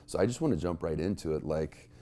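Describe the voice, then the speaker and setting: A man's voice: low voice, animated, quick pace, dark tone. A man hosting an interview show, effusive and casual, calling his guest dude and man. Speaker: podcast host. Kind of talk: interview chat.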